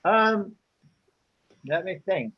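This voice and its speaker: An older man talks at an easy pace with a slightly high voice, stopping often to find his words. The sound is muffled and lo-fi. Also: expressive, slightly rough. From England. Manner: unhurried, hesitant with frequent fillers. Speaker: interviewee. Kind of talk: casual interview answers.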